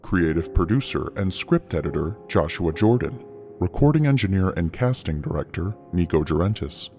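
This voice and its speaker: A man reading scripted podcast credits as an announcer, in a measured, formal manner. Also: sits low, expressive, darkish tone. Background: prominent music.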